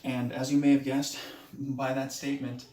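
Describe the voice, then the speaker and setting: A man in his late twenties, from Moldova with Romanian as his first language, giving a halting self-introduction speech for a class, with many restarts. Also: medium pitch, flat delivery, a little mellow, reverberant. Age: 20s. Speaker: student.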